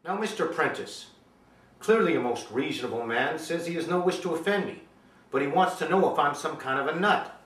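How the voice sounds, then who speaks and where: A man playing an impassioned aging father in a dramatic film monologue, speaking with deliberate, emphatic oratory. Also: a little high, animated, a bit bright, voice pushed up. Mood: measured, wounded. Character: aging father, earnest and impassioned, defending young love. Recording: echoey room, distant mic, faint noise.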